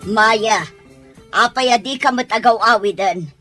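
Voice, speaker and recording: A woman's voice: on the high side, measured pace, a bit bright, faint music behind. A woman with an Ilocano accent playing an exasperated mother who scolds and exclaims in an audio drama. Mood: alarmed.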